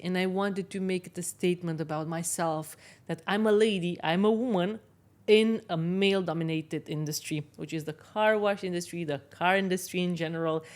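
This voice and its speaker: A middle-aged woman from Romania, telling her own story confidently as an interview guest. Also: medium pitch. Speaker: interview guest, business owner. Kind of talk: conversational personal storytelling.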